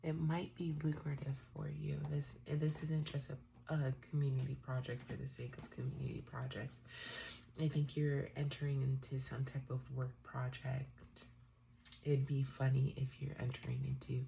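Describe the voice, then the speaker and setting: A woman with a stuffed-up cold, doing a tarot reading in a soft, often half-whispered, musing way. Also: a bass voice, normal speed, creaky, slight background noise, rough recording. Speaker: tarot reader. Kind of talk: unscripted tarot reading for viewers.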